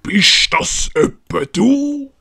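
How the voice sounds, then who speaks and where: A woman's voice: sits high, sing-song, drawn-out words, vocal fry, fairly bright tone, breathy edge, dry recording, clean studio sound, exaggerated voice. A woman with a Swiss German accent voicing a gloating, scheming male villain master in an audio story. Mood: intrigued. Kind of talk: hobby audiobook character acting.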